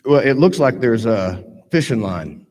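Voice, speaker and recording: A man trading dry, deadpan jokes on stage with a co-presenter, speaking slowly. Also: medium pitch, crowd noise. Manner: dry, deadpan jokes, slow drawn-out delivery. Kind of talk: comic stage banter. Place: large conference hall. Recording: reverberant hall sound.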